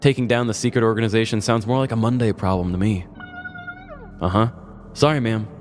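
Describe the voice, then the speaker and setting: A man's voice: lowish voice, fairly quick, in a large hall, a little hiss or hum, lightly acted. A man playing a jaded, skeptical, lazy police officer in a comedic story, blunt and dismissive. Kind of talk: comedic podcast story acting.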